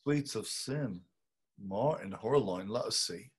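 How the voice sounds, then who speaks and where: A husky, musing book browser. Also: male voice, fairly low pitch, expressive, slow, heavily acted. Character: middle-aged man browsing racy novels. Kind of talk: dramatic reading. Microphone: video call.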